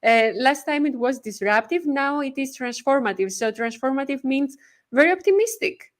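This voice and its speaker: A woman hosting a live podcast interview, speaking briskly with frequent filler pauses. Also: sits high, animated. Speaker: podcast host.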